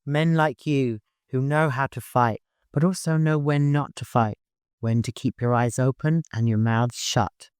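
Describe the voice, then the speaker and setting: A man's voice: medium pitch, steady tone, unhurried, darkish tone, smooth, dry recording, clean studio sound, close to an everyday voice. A man voicing a shrewd, authoritative male expedition leader with a wry streak in an audiobook. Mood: commanding, rousing.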